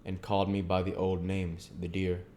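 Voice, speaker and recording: A man's voice: low voice, completely flat delivery, normal speed, darkish tone, a little hiss or hum. A man reading a classic novel aloud as an amateur audiobook narrator.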